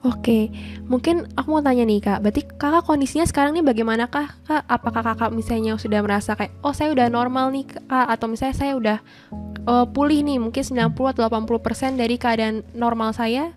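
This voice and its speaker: Higher-voiced woman interviewing casually. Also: quick pace, darkish tone, soft background music. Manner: informal, polite, appreciative. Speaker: interviewer, host.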